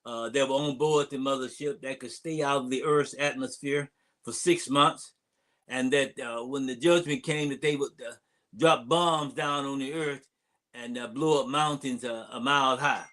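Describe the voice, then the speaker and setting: An older man, an imam, giving an unscripted public address, telling stories from memory in a halting way with digressions. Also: medium pitch, steady tone, fairly bright tone. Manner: halting delivery with long digressions. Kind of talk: unscripted storytelling address, reminiscing.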